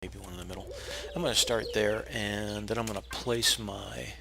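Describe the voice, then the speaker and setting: A hoarse, raspy man who is feeling unwell, casually talking viewers through a game build step by step with plenty of pauses and restarts. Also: lowish voice, background noise. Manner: relaxed, explanatory, with frequent uh fillers and restarts. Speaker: game tutorial narrator. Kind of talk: casual step-by-step gameplay tutorial.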